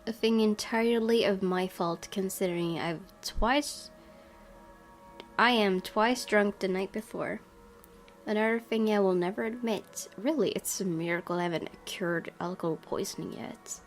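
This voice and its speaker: A woman narrates a visual novel in the first person, reading from the script. Her pitch is average for a woman, and music plays clearly behind her. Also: normal speed. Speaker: gaming video host reading a visual novel aloud.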